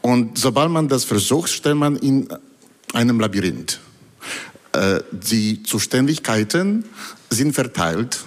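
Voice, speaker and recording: A man speaking German as a second language, asking a question at a public forum, halting and reading from notes with many fillers. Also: mid-range voice, sing-song. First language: not German. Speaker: audience member asking a question. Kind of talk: public town hall question. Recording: hall reverb.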